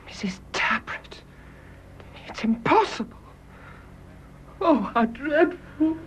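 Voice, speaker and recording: An actress playing a frightened, guilt-stricken young woman in a radio drama, breathy and tearful with drawn-out exclamations. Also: high-pitched, lively intonation, in a whisper. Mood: horrified, frightened. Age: young adult. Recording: faint hiss on radio drama recording.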